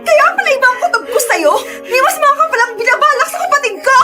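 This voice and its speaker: A woman's voice: a little high, animated, fairly quick, loud music behind the voice, natural voice. An actress playing a protective, caring older sister, a married working woman, in a radio drama. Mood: enraged, sobbing.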